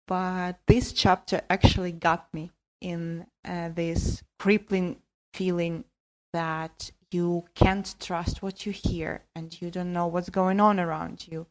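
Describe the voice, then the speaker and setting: Very slow-speaking woman, reflective commentary. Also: fairly low pitch, fairly even intonation, low-quality recording. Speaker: listener sending in a voice submission. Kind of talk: reflective commentary on a story podcast.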